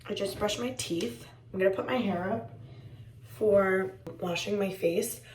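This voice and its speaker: Raspy tired young woman vlogging. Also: mid-range voice, unhurried, reverberant, distant mic, over music, rough recording. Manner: chatty, rambling, talks to viewers as friends. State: tired and low-voiced. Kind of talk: casual vlog chat. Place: indoors at home.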